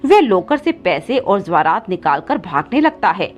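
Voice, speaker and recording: A woman's voice: medium pitch, very animated, normal speed. A woman reading scripted narration as the storyteller of a children's tale. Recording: slightly dull audio with background music.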